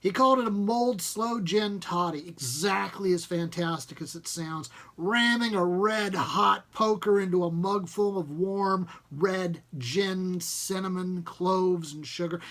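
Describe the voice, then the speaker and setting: A man's voice: sits high, takes it slowly, a bit bright. A man narrating a first-person short story, reading it aloud. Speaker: story reader.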